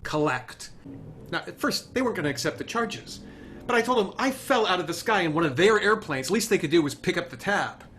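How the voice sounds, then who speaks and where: Man acting higher-voiced raconteur, noisy recording. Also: animated, a little fast, a bit bright. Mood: wry, boastful. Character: cocky male crash-survivor raconteur telling his adventures. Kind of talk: dramatic screenplay table read.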